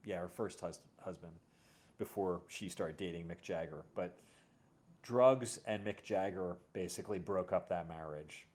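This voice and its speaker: A man's voice: low voice, a little mellow. A man hosting a casual conversation about music, talking in a rambling, self-correcting way. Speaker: host.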